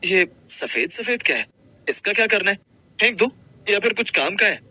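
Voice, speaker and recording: A man playing a simple, humble working husband, gentle, earnest and a little hesitant, in a Hindi moral-story cartoon. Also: high voice, lively intonation, moderate pace, husky, no echo, heavily acted. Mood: respectful, curious.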